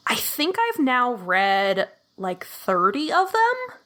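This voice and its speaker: Woman guest, bright forceful voice, a bit high, chatty and enthusiastic. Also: wildly swooping pitch, takes it slowly. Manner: enthusiastic, lots of "like" fillers and laughs. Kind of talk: casual podcast chat.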